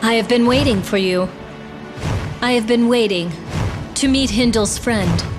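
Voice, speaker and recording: Give me a resolute young princess played by a woman in a fantasy game. Her voice sits a little high, solemn and formal, with clear music underneath. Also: unhurried, lightly acted. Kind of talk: dramatic video game voice acting.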